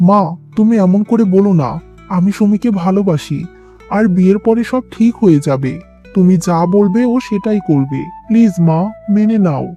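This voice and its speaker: An earnest young cartoon son voiced by a man, pleading on behalf of his love. The voice is high for a man yet extremely soft and dark. The track sounds dull, with music underneath. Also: normal speed, lightly acted. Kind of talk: dramatic cartoon dialogue.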